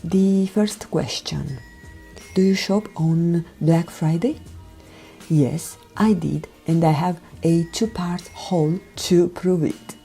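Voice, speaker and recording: A woman from Romania with a Romanian accent, speaking a gentle, careful voiceover for her makeup tutorial, often dropping near a whisper. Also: on the low side, slow, gravelly. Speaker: beauty vlogger. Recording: voiceover with background music.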